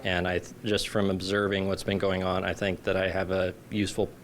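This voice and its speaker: A man running for city council, speaking in a measured, plain-spoken way in a news interview. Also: deep voice, completely flat delivery.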